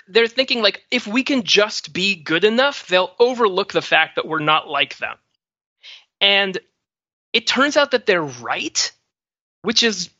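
Male panelist, mid-pitched and sharp, casually analytical and tentative, recorded very dry. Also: no echo, highs cut off. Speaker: podcast panelist.

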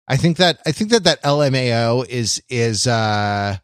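A man co-hosting a podcast in casual discussion, with a halting, wry, self-interrupting way of talking. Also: medium pitch, expressive, clean tone, no echo, studio quality. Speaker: podcast co-host. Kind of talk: casual discussion, summarizing and quoting an article.

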